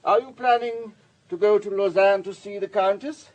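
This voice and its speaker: An actor playing a wealthy, urbane businessman in his sixties in a radio drama, husky and discursive, with a worldly, paternal manner. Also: high-pitched, normal speed, a little mellow, natural voice, poor audio. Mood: brisk, concerned. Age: 60s.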